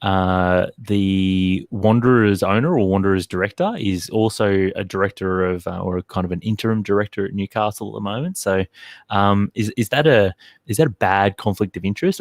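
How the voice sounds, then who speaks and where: An Australian man hosting a football podcast, chatting casually and often pausing with ums and restarts. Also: fairly low pitch, no echo, studio quality. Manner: hesitant, lots of ums and restarts. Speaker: podcast host. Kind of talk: casual sports chat.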